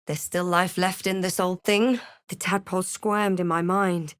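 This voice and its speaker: A close, dry recording of a woman playing a female adventurer from a video game. She is wry and quietly guarded, speaking in a lowish register with measured, understated delivery, as if observing and recounting. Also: steady tone, clean tone, no room sound, natural voice. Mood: measured, watchful. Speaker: video game player character. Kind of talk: scripted game voice lines and past-tense narration.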